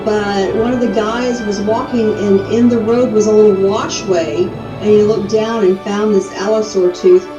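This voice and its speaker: A woman of medium pitch speaks casually and emphatically as an interviewee. The sound is dull and echoey, with music clearly playing behind her. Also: fairly even intonation, normal speed, few pauses, far from the mic.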